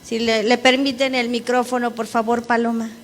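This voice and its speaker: Female conference moderator, fairly high voice, formal, some background noise in the room. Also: level tone, moderate pace. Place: conference hall.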